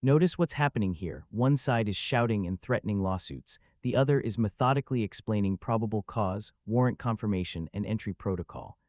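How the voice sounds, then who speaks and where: A man giving scripted voice-over commentary, calm and measured. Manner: calm, measured, low-key. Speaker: narrator.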